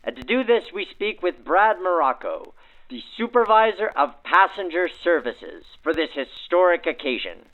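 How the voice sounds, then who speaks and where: A man's voice: sits high, very animated, normal speed. A man narrating in a theatrical, grandly promotional 1940s radio announcer style, through a vintage radio filter. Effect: backdated 1940s radio filter. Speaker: host and narrator. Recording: tinny band-limited 1940s radio sound.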